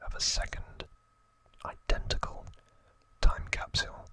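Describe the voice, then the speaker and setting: Slow, whispering male ASMR host. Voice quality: breathy, unvoiced whisper. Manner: slow, gentle, hushed delivery with drawn-out words.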